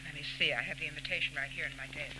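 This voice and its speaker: A woman's voice: low voice, steady tone, racing, close to an everyday voice. An actress playing a composed, condescending society woman who secretly runs robberies, in 1940s radio style. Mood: calculating. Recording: scratchy 1940s radio recording with hum.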